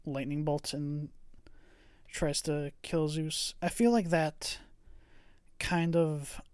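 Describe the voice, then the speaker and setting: A relaxed podcast co-host talking through a film in an easygoing back-and-forth, often hedging and trailing off. The voice sits at an ordinary middle pitch. It is recorded close and dry, with no room sound. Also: slow, clear, resonant tone, no echo. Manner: hedging, trails off with "I don't know". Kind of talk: casual film review chat.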